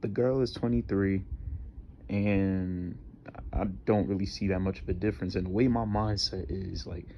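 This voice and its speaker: A young man in his twenties talks on his own podcast in a lowish voice, earnest and repetitive, full of slang and fillers. The sound is dull and lo-fi, with a faint hiss underneath. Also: steady tone, normal speed, warm tone. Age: 20s. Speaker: podcast host. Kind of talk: solo podcast monologue.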